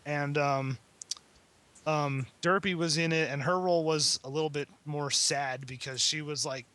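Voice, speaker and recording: A man chatting casually on a podcast, retelling things in a hesitant, halting way. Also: fairly high pitch, steady tone, bright, forceful tone. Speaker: podcast panelist. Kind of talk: casual chat, retelling a story.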